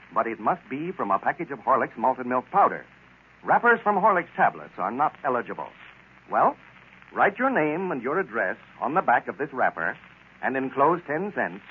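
An American man announcing and pitching a sponsor in folksy, polished 1930s radio style. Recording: scratchy, tinny 1930s radio broadcast.

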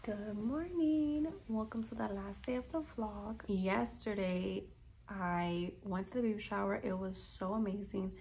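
A woman's voice: medium pitch, unhurried, vocal fry, darkish tone, a little hiss or hum. Chatty young New woman. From Queens, New York, United States. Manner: informal and chatty, slangy. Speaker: lifestyle vlogger. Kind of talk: casual chat to camera.

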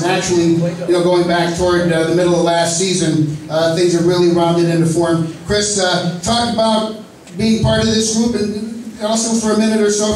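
A man's voice: high voice, a little mellow. A man hosting a press conference, announcing athletes and joking with the panel in a genial way. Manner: genial, joking, lists names with pauses. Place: large echoey indoor hall. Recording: echoey hall recorded from far off, noisy.

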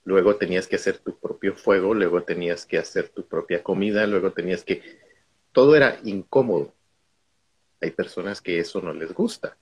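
Middle-aged man, husky, low voice, reflective storyteller, video call. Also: moderate pace, darkish tone. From Guatemala. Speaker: guest speaker.

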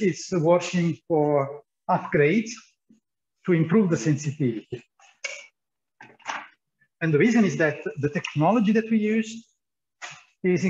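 A man's voice: high voice, a little mellow, dull audio. A man guiding a tour through a large indoor lab, explaining as he walks, with many fillers and repeated words. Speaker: tour guide at a physics lab.

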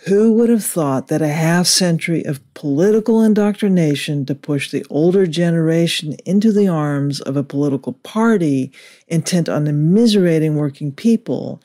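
A man reading a scripted political commentary in a measured, indignant way. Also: on the high side, darkish tone.

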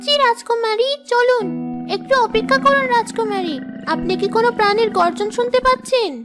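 A woman's voice: very high-pitched, brisk, over loud music. A woman voicing a cautious, deferential young maid to a princess in a cartoon story, with an anxious manner. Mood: wary. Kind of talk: Bengali cartoon story dialogue.

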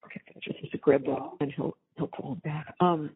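A woman in her seventies chatting casually on a family phone call, halting and repeating phrases, with a husky, gravelly voice. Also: low voice, steady tone. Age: 70s. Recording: tinny, band-limited jail phone call.

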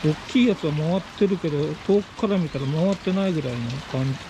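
A man's voice: a little high, fast talker. A man making casual, murmured remarks to himself as he films a cycling vlog outdoors. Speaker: cycling vlogger. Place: outdoors on roadsides and on a bicycle. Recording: outdoor road noise, passing cars.

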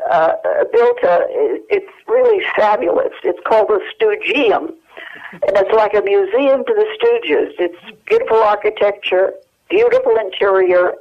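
An older woman, a guest on the phone, recalling family stories in a rambling way with many fillers. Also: medium pitch. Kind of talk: telephone interview. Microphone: telephone. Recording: muffled telephone line.

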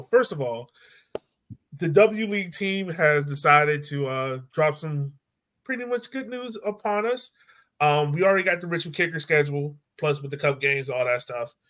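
A man's voice: on the high side, a bit bright. A man in his thirties hosting a casual sports show over a video call, with a playful, teasing manner. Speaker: podcast host. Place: room at home, on a video call.

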